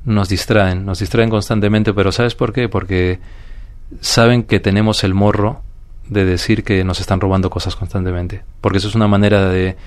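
A man with a Peruvian accent, a guest speaking calmly and reflectively in an interview, often restarting and asking "you know?". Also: fairly low pitch, normal speed. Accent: Peruvian Spanish. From Peru. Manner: calm, thoughtful, many restarts and "¿sabes?". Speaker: guest, paranormal investigator.

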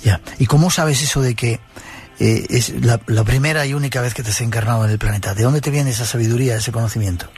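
A man interviewing a guest, asking brisk prompting questions. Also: medium pitch, husky, soft background music.

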